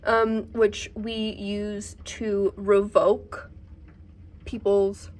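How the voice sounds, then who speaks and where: A woman chatting casually about books in a vlog, halting as she thinks aloud. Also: medium pitch, little pitch movement, a little mellow. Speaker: book reviewer and vlogger.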